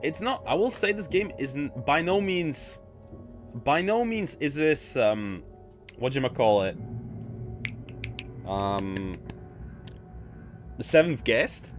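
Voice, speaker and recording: A man from Poland with a mixed British and American accent, chatting and thinking out loud while streaming a game. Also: a little high, big pitch swings, slow pace, pauses often. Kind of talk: casual gaming livestream chat. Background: music.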